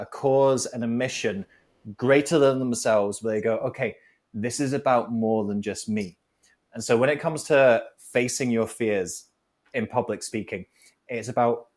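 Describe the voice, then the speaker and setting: Thoughtful man explaining with analogies. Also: medium pitch. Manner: reflective, builds points with analogies. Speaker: guest speaker. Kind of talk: conversational advice on a talk show.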